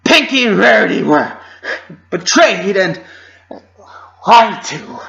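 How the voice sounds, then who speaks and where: A high-strung young mare from a cartoon fan story, acted out by a man. The voice is pushed up and strained with emotion, forceful in tone, on a dull lo-fi recording. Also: big pitch swings, slow pace, gravelly, exaggerated voice. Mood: furious. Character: distraught, high-strung young female pony.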